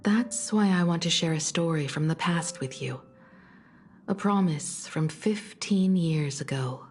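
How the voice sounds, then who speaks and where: Game role: composed woman navigator and mentor, lowish pitch, measured and tender delivery, music behind. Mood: tender, earnest. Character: composed, caring woman starship navigator, proud and nostalgic mentor. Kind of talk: video game story dialogue.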